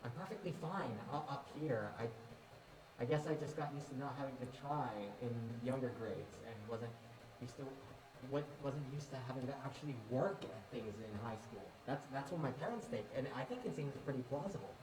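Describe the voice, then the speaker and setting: A young man in a student stage play, acting an anxious, self-doubting high-school boy who speaks hesitantly and stammers. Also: animated, fairly quick, close to an everyday voice. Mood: anxious, defensive. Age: young adult. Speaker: student actor in a one-act play. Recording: echoey, distant mic, clear noise.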